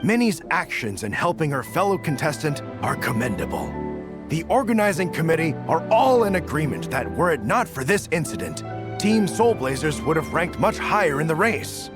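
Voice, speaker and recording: Formal game official guy, measured announcing, highish voice that moves around a lot, music behind. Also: sing-song. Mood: formal, gracious. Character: formal, gracious male race official. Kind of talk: video game voice acting. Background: background music.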